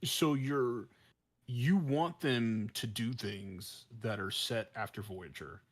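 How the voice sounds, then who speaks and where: Male podcast co-host, mid-range voice, opinionated and incredulous, halting delivery with repeated restarts. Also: mid-range voice. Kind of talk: casual opinionated discussion.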